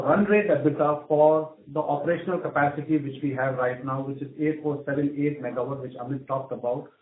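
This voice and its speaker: Higher-voiced man on echoey phone line. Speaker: finance executive briefing investors. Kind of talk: semi-scripted earnings call presentation. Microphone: conference call phone line.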